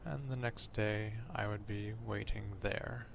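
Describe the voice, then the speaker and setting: A man playing an obsessive, old-fashioned vampire stalker in an ASMR roleplay, speaking formally in a hushed half-whisper, close to the microphone. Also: on the low side, steady tone, noisy background. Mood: obsessive, calm. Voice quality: hushed, breathy half-whisper with gravelly fry. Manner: slow, deliberate, formal archaic wording.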